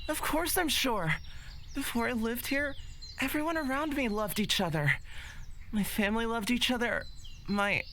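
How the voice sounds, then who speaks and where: Gentle young fantasy innocent. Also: male voice, very high voice, normal speed, a little hiss or hum, exaggerated voice. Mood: sincere. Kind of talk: fantasy visual novel voice acting.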